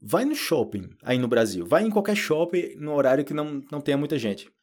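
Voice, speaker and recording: A man from Brazil with a Brazilian Portuguese accent, hosting a casual podcast lesson. He talks in a chatty way, fills his talk with little fillers and acts out quoted people in a higher voice. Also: sing-song, a little fast, darkish tone. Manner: lots of fillers like "tipo" and "né". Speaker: Portuguese teacher, podcast host.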